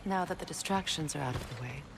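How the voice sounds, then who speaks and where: A woman's voice: low-pitched, fast talker, creaky, noisy background. A woman playing a proud, guarded warrior goddess in a video game, curt and challenging. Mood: guarded, indignant. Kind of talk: video game character acting.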